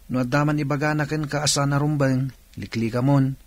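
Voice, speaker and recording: An actor with an Ilocano accent playing a familiar, advising older brother in a radio drama. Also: male voice, medium pitch, steady tone, fairly quick, no room sound, natural voice. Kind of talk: Filipino radio drama acting.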